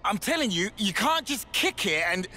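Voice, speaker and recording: A stammering nervous mechanic. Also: male voice, sits high, big pitch swings, moderate pace, over music. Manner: stammering, anxious protests. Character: nervous young male mechanic under a loud boss. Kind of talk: video game voice acting.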